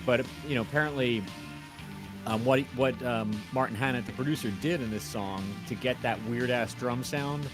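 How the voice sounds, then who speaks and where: A man in casual conversation, rambling and wandering off on digressions. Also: mid-range voice. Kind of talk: casual chat.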